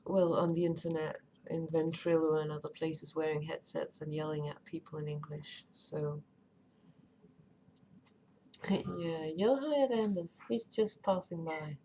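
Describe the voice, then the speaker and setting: A woman with a Danish accent chatting casually on a live stream, often pausing with "um" and "uh" as she searches for words. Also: deep voice, fairly even intonation, warm tone, slight background noise. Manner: hesitant, many ums and uhs while searching for words.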